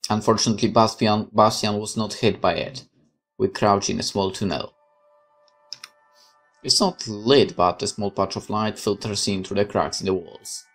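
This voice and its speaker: A woman's voice: a bass voice, moderate pace, faint music behind. A woman reading a game's story aloud and adding casual commentary as she plays. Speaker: game player narrating a playthrough.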